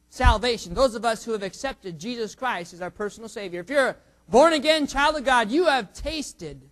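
High-voiced joking young preacher. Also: male voice. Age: under 45. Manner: jokey, self-teasing, surging loud emphasis. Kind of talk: sermon with prayer.

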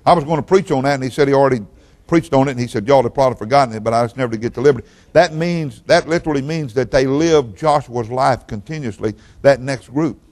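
A man's voice: mid-range voice, fast, a little mellow. An older man preaching as a visiting pastor, folksy and rambling, often repeating his words. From Oklahoma, raised in Texas. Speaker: guest pastor. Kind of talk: sermon.

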